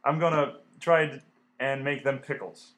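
A man playing a smooth-talking, scheming talent agent in an old-time radio comedy, with folksy salesman patter. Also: mid-range voice, steady tone, moderate pace, fairly bright tone, close to an everyday voice. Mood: scheming enthusiasm.

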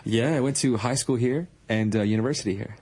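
A man's voice: mid-range voice, fairly quick, darkish tone, husky. A young man, a guest on a radio interview, talking earnestly about organising basketball teams, often restarting and correcting himself. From born in Iran, raised across the Pacific and Europe.

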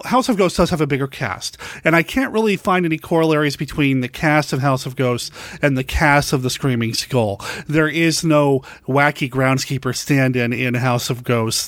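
A man's voice: fairly high pitch, a bit bright, no room sound, clean studio sound. A man giving a scripted, enthusiastic film recommendation as a podcast contributor. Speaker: podcast contributor reviewing classic horror films.

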